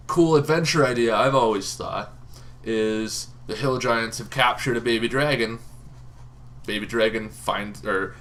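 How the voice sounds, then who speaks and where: A man hosting a tabletop gaming channel, explaining casually off the cuff in an informal, halting way, as if thinking aloud. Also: medium pitch, a bit bright. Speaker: host of a tabletop roleplaying lore channel. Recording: noticeable background noise.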